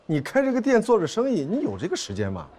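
An actor playing a harried court officer in a screen drama, placating and evasive, with dry exasperation and repeated words. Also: male voice, high voice, wildly swooping pitch, fast talker, darkish tone. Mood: cautious. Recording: noticeable background noise and ambience.

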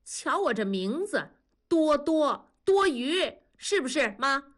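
A sullen young woman in an audiobook family drama, acted by a female narrator, high in pitch and swinging widely. She is sarcastic and defiant, throwing bitter accusations at her parents. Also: big pitch swings, takes it slowly, full character voice. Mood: cold, resentful. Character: sullen, bitter 21-year-old daughter lashing out at parents.

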